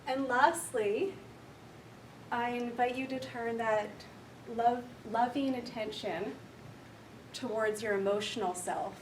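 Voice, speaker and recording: A woman counsellor with a quiet voice leading a slow, gentle guided meditation for a workshop audience. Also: fairly high pitch, a little hiss or hum. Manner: soft, slow, gentle and unhurried. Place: large echoey room with an audience. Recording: echoey room recorded from far off.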